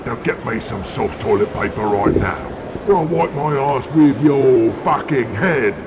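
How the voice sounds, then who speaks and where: An actor playing a foul-mouthed, abusive British wrestling boss, ranting furiously in a gravelly voice in an audio drama. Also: male voice, mid-range voice, unhurried, lo-fi sound, background noise, close to an everyday voice.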